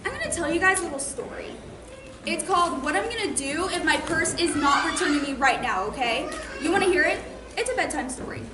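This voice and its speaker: A woman's voice: high voice, expressive, a little fast. A young woman playing an indignant, sarcastic girl who menaces suspected thieves in a theatrical stage monologue. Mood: mock-sweet, menacing. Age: teenager or young adult. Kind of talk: high school stage monologue. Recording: noisy, echoey theater stage recording.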